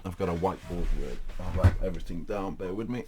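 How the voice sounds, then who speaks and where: Portuguese-accented male host, deep voice, loose and rambling with lots of restarts. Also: fast, loud music behind the voice. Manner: rambling, many fillers and restarts. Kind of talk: casual live talk.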